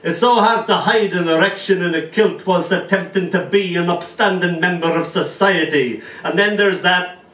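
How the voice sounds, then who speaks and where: A man from Ireland reads his poetry in a small cafe, his voice sitting a little high. He jokes with the crowd between pieces and slows into tender recitation. The mic is set back, so the sound is roomy and distant with faint noise behind him. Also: fairly bright tone. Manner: jokey asides, then slow tender recitation. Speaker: poet reading at an open mic. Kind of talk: poetry reading with banter. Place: small cafe venue with live audience.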